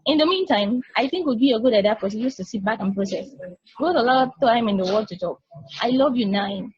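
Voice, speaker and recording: A woman's voice: on the high side, animated, fast talker, breathy edge. A woman playing a caring but exasperated space probe in an amateur play reading. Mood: gentle, reassuring. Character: caring, patient, exasperated sentient space probe explaining to another. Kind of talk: amateur play table read. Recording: dull, lo-fi call audio.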